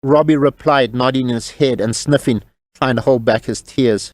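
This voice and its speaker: A man's voice: mid-range voice, moderate pace, dry recording. A man reading scripted narration for an audio drama in a storybook style.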